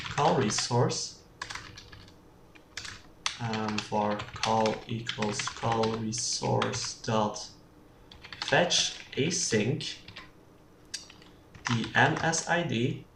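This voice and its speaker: A screencast tutor talks slowly and haltingly, working through code out loud. The sound is echoey, with clear background noise and the clicking of keys as they type. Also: medium pitch. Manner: halting, thinking aloud while typing. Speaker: programming tutorial presenter. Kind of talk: unscripted screencast coding tutorial. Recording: roomy, distant mic with clear background noise. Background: keyboard typing and mouse clicks.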